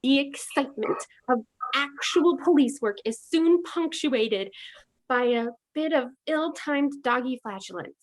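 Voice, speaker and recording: A woman's voice: sits high, animated, measured pace, rough recording. A woman comedian narrating with wry, mock-reasonable commentary.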